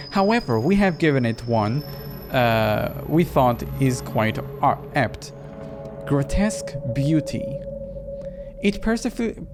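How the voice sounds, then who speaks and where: A man voicing a mysterious, courtly art dealer in a visual novel, speaking formally and slowly with theatrical reverence. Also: a little high. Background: music behind the voice.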